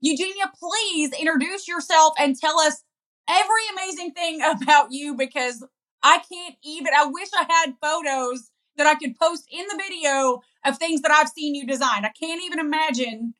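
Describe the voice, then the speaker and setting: High-voiced, gushing female host. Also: moderate pace, a bit bright. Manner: effusive, gushing. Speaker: podcast host. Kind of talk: upbeat show host interview.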